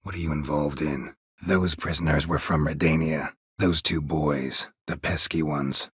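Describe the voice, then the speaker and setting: A gruff, dry-witted monster hunter for a fantasy video game. His voice is extremely deep and heavily rasped, recorded bone-dry. He speaks in clipped, deadpan phrases with a stoic temper. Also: a little slow, dry recording, exaggerated voice. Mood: dry, guarded. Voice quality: gravelly, husky low voice.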